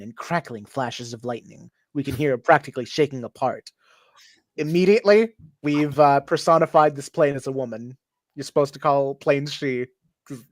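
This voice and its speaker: A man's voice: a little high, no room sound. Chatty man reading a script. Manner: playful, chatty, laughs often. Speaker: podcast host. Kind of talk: reading a script aloud with commentary.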